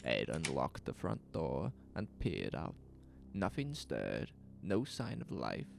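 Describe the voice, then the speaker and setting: A man's voice: a bass voice, huge pitch swings, takes it slowly, creaky, soft background music. A man narrating a scripted horror story in a grim, dramatic manner.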